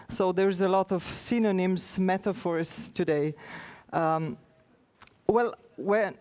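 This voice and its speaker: A woman with a Slovenian accent, a native Slovenian speaker, giving an informal conference presentation as an official, in a hesitant, halting way with many pauses. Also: lowish voice, darkish tone.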